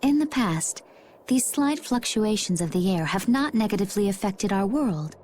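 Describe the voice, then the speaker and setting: A woman's voice: mid-range voice, animated, normal speed, darkish tone, lightly acted. A woman playing a serene, composed, mysterious young woman in a fantasy video game cutscene. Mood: serene, explanatory. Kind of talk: English game cutscene voice acting. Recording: faint ambient noise behind.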